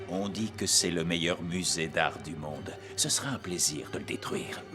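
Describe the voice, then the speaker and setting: A man playing a cold, menacing cartoon villain who speaks deliberately and gloats. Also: low voice, music in the background, natural voice. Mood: smug menace. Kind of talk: dubbed cartoon acting.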